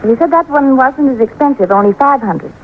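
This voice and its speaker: Tough cornered crook gal, really high pitched, old radio crime show, tinny audio. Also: female voice, lively intonation, fairly quick, slight background noise. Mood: businesslike. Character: defiant, cornered female accomplice in a murder case. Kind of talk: old-time radio crime drama acting. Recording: tinny, narrow-band old radio recording.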